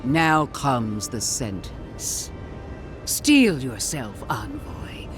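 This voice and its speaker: An actress voicing an imperious, wrathful zealot warrior in a video game, grandiose and menacing. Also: female voice, a bass voice, very animated, very slow, heavily acted. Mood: cold, condemning. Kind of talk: dramatic video game villain acting. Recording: voice under loud cinematic music.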